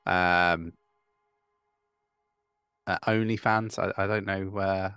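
Low gravelly guy chatting on a crypto stream, soft music under. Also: slow, no room sound, studio quality. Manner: relaxed, chatty, reads live chat questions. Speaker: co-host. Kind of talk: casual crypto livestream chat.

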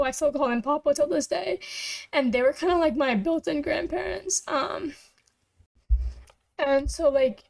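A young woman from Pakistan talks alone into her own microphone, hosting a casual podcast. Her voice sits high. She rambles candidly and fills every pause with "like" and "um". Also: rough recording. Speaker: podcast host. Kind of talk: casual solo chat.

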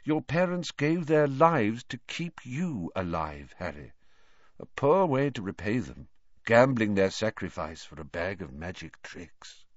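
A man reading, voicing a calm, gentle male professor who speaks mildly and evenly. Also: on the low side, no echo, lo-fi sound. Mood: stern quiet. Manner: mild, measured, soft-spoken.

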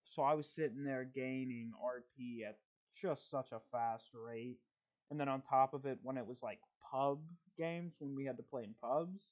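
A man's voice: medium pitch, a little mellow, highs cut off. A man hosting a podcast in casual chat, telling rambling stories with plenty of swearing. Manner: loose, profane, rambling storytelling. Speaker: podcast host.

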